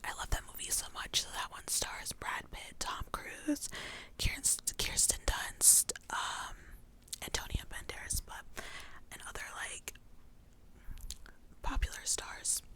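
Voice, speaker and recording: A woman's voice: slow pace, sharp tone. A young adult woman whispering breathily in an informal, rambling, chatty ASMR style. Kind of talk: whispered ASMR ramble about favorite movies.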